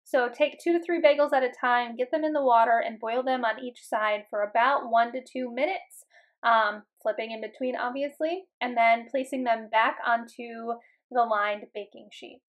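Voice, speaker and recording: A woman in her thirties with a somewhat high voice, talking through her home cooking in a relaxed, chatty way. Her speech is loose and unhurried, often broken by ums and uhs. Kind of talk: casual voice-over narration. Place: home kitchen voice-over.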